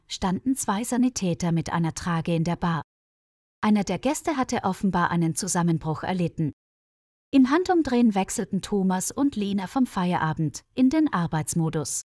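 A woman's voice: mid-range voice, a little mellow, no room sound, clean studio sound. A woman reading a scripted story for language learners, enunciating carefully as narrator.

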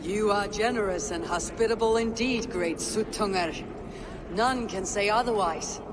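An actor playing a composed, courteous warrior god in a video game, with measured, formal speech. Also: mid-range voice, natural voice. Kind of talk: scripted video game dialogue. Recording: clear background noise and ambience.

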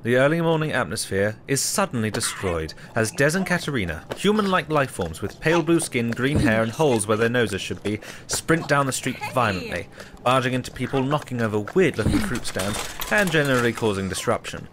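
A man's voice: mid-range voice, sing-song, brisk. A man reading scripted narration for an audio drama. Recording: faint background noise.

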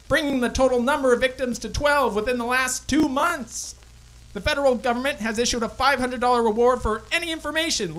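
A woman's voice: high voice, a bit bright, rough recording. A woman playing an older male 1920s radio newsreader with a formal, declamatory delivery. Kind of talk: old-time radio news acting.

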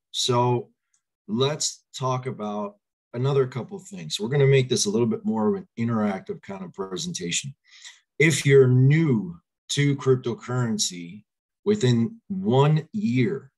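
A man in his forties, slightly hoarse, giving an upbeat online presentation over a video call from a small room at home, asking listeners to answer in the chat. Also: on the low side, poor audio. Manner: upbeat, coaxes audience to reply in chat. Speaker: crypto presenter. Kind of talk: webinar presentation. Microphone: webcam on video call.

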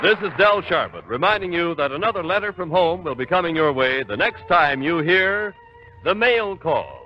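Male American announcer, lively intonation, 1940s radio delivery, muffled sound with faint music. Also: a little high, moderate pace. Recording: dull 1940s radio recording, music behind.

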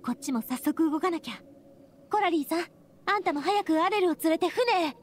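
An actress playing a bold, sharp-witted young woman boss in an anime game, assertive and direct. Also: extremely high pitch, lively intonation, a little fast, slight background noise. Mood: urgent, commanding. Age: young adult.

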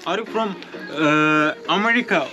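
A man's voice: a little high, unhurried, bright, forceful tone, muffled recording, some chatter behind. A man with a Turkish accent selling at a market stall, speaking broken English with lots of laughter. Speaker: market seller. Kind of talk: casual sales talk.

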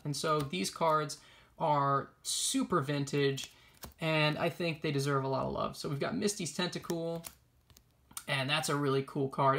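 A man's voice: on the high side, creaky, fairly bright tone. A young man giving casual, chatty show-and-tell commentary as an enthusiastic collector hosting a video. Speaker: trading card collector and video host. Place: indoors, small room at a table.

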